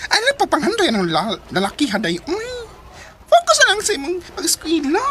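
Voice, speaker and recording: A woman's voice: extremely high pitch, huge pitch swings, fairly quick, a bit bright, slight background noise, exaggerated voice. A woman playing a frank, teasing young college friend in a radio drama, scolding and exclamatory.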